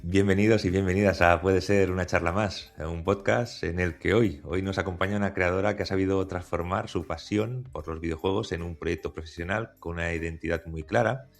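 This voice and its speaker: A man with a low, gravelly voice hosts a relaxed podcast interview. He talks haltingly and keeps restarting his phrases, with faint music underneath. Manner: hesitant, many restarts and fillers. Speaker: podcast host. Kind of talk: conversational interview.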